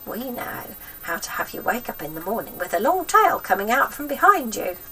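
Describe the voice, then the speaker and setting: A woman performs a wicked old grandmother from a children's storybook. She is sharp and creaky, relishing every nasty word with slow menace. The recording sits a little away from the mic with faint noise. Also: fairly high pitch, big pitch swings, far from the mic, pitched above the performer's own voice, exaggerated voice, poor audio. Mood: eerie, threatening. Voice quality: throaty, breathy whisper at times. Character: sinister, gloating old witch of a grandmother.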